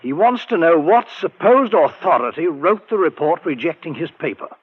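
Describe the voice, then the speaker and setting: An actor playing a fretful, blustering secretary of a scientific society in 1940s radio drama style. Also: male voice, on the high side, lively intonation, normal speed, husky. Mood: uneasy, confiding. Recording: dull lo-fi vintage radio recording.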